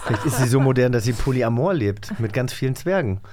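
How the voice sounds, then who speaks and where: A man's voice: medium pitch, expressive, fast talker, darkish tone, a little hiss or hum. Guy cohosting a podcast, mid voice, jokey teasing chat, bit rambly. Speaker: podcast co-host. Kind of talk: casual, joking podcast chat.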